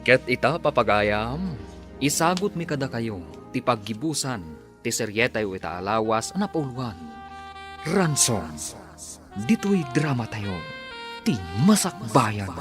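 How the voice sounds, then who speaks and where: A man with an Ilocano accent announcing a radio drama in a formal, measured way. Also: mid-range voice, expressive, music in the background.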